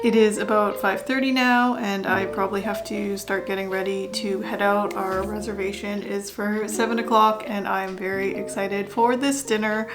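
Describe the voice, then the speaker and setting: A woman's voice: medium pitch, fairly even intonation, moderate pace, few pauses, music in the background. A woman vlogger talking casually to camera, musing and thinking aloud about her writing. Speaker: vlogger and writer. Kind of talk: casual vlog chat to camera.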